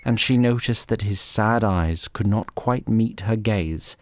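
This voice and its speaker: A man narrating a scripted fantasy story in a measured, literary storytelling manner. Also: lowish voice, a little hiss or hum.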